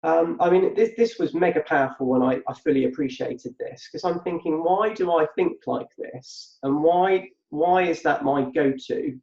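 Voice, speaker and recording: A man's voice: a little high, normal speed, creaky, few pauses, darkish tone. A man co-hosting a podcast, explaining thoughtfully and repeating words as he thinks aloud. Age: adult with a past business. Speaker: podcast co-host. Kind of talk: reflective conversational explanation. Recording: muffled echoey room.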